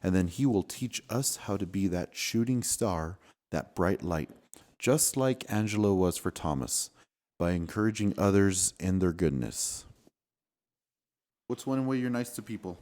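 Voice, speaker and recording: A man's voice: deep voice, lively intonation, unhurried, darkish tone. A man reading a storybook aloud and chatting with children as their father, with an encouraging, patient manner.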